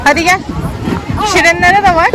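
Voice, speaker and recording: Woman, high voice, mother vlogging for kids, chatty prompts, loud crowd all around, outdoors. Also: steady tone, moderate pace. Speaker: family vlogger and mother filming her child. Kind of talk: casual vlog narration for children. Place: crowded outdoor children's theme park. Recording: noisy crowded outdoor recording, loud background. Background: loud crowd and outdoor ambience.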